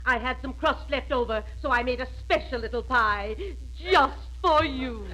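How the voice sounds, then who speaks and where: A woman's voice: sits high, normal speed, sharp tone, slight background noise, rough recording. A woman acting as a sugary, doting mother in an old radio comedy.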